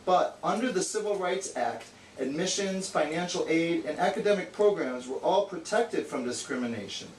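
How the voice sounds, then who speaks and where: Guy doing a nerdy know-it-all puppet, kinda high voice, lecturing, bit snooty, echoey hissy puppet show recording. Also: animated, normal speed, few pauses, lightly acted. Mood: earnest, didactic. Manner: didactic, slightly condescending. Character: bookish, exasperated know-it-all male puppet. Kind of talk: amateur educational puppet show, scripted explaining. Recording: echoey room, distant mic, hissy noise.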